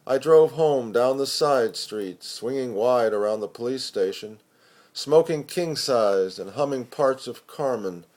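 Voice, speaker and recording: A man giving an expressive poetry recitation with measured, deliberate phrasing. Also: medium pitch. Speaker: poetry reader.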